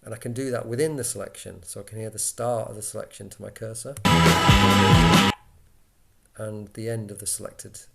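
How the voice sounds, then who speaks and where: A man giving an informal software walkthrough, explaining step by step in a conversational way as an instructor. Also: lowish voice, steady tone, moderate pace, vocal fry, long pauses, loud music behind the voice.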